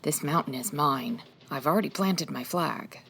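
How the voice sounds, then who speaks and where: A woman playing a wry, quick-witted 1940s private detective in a comedy audio drama, with an arch, teasing manner. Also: low-pitched, creaky, fairly bright tone, a little hiss or hum. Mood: coy, teasing. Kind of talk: comedy audio drama acting, old-time radio detective.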